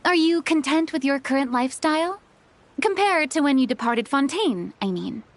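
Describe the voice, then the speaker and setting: A woman's voice: high voice, expressive, moderate pace, no room sound. A woman playing a polite, easily flustered young former stage star in a video game, with a theatrical delivery. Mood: warm, curious.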